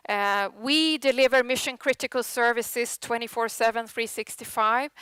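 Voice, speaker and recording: A woman's voice: a little high, a bit bright, clear, resonant tone, no echo, low-quality recording. A Swedish woman in her late forties with a Swedish accent, giving a chatty, self-deprecating conference talk with many fillers. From Sweden. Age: 40s. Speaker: conference speaker. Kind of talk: conference presentation. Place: conference room.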